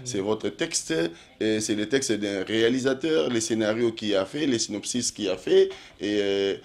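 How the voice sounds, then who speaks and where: A man's voice: lowish voice, moderate pace, darkish tone. Male TV host, long meandering questions, frequent "euh", Lingala asides. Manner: lots of "euh" hesitations, mixes in Lingala. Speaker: TV show host. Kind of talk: TV interview.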